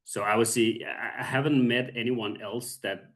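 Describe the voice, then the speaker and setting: A Danish-accented man in his thirties, a podcast guest who speaks calmly and haltingly, with many pauses. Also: mid-range voice. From Denmark. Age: 30s. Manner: calm, soft-spoken, halting with many pauses. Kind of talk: casual interview.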